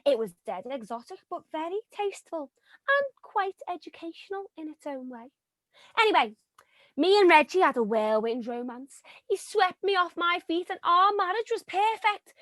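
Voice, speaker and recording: An actress playing a brash, gold-digging young widow with a Liverpool accent, melodramatic and cheeky. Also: female voice, high voice, sing-song, moderate pace, fairly bright tone, no room sound. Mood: gushing. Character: brassy gold-digging young widow faking exotic roots. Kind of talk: stage comedy acting.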